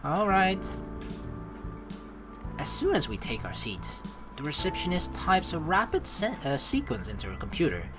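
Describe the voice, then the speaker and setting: A woman hosting a let's play, reading game text aloud and adding chatty, informal commentary. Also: low voice. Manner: animated, informal, chatty asides.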